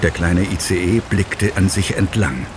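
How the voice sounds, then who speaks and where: A man narrating a scripted children's audio play as an expressive storyteller. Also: low voice, measured pace, noisy background. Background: music, vehicle sounds.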